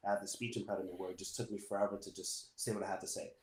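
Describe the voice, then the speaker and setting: A man, a Spanish speaker, telling his story casually in an interview and filling pauses with "you know". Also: sits low. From New York. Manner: relaxed, many "you know" fillers. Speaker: guest, assistant principal. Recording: echoey room.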